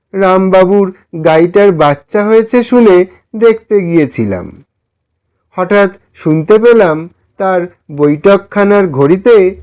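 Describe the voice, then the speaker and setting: A man voicing a meek, eccentric older gentleman fallen on hard times, earnest and apologetic, in a story. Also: high voice, voice pushed up. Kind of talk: acted dialogue in an audio story.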